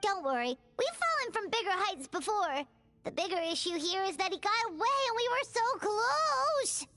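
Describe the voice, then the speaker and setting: Squeaky, very high-pitched little fairy girl. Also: big pitch swings, normal speed, sharp tone, no echo, full character voice. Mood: frustrated. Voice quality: squeaky, childlike. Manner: refers to herself in the third person, exclamatory. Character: tiny childlike female fairy companion, excitable and anxious. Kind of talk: video game voice acting. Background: background music.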